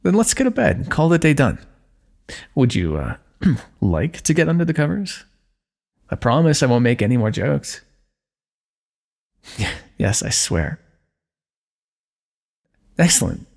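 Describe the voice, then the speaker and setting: A man performing an intimate fantasy roleplay for ASMR, speaking softly in a teasing, affectionate way. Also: mid-range voice, very animated, unhurried, creaky, long pauses. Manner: soft, teasing and affectionate, with frequent light laughs.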